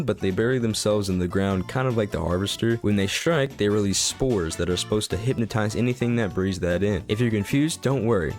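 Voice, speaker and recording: A man giving casual, irreverent, self-deprecating video commentary, swearing freely as he explains. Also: on the low side. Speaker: YouTube host. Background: background music.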